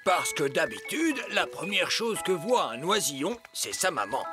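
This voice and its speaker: A fairly high, very animated male voice for a pompous cartoon father. He explains everything emphatically like an expert, over clearly audible music. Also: unhurried. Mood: instructive. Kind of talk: French cartoon dubbing. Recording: music behind the voice.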